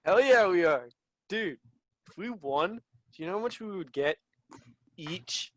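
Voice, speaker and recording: A man's voice: sits high, animated, unhurried, fairly bright tone, no echo. A young man acting in a student play as a laid-back, friendly gamer with a casual, slangy manner. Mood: excited. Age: young adult.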